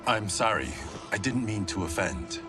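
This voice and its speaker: A man's voice: lowish voice, animated, music in the background, close to an everyday voice. An actor playing an earnest, level-headed swordsman, speaking seriously in a video game cutscene. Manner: measured, serious, sincere.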